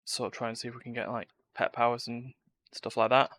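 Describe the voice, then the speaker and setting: A man's voice: mid-range voice, monotone, brisk, a bit bright, no room sound. A man giving live gameplay commentary, talking to himself and reacting as he plays. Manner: muttering to himself and reacting to play. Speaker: game streamer. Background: game music.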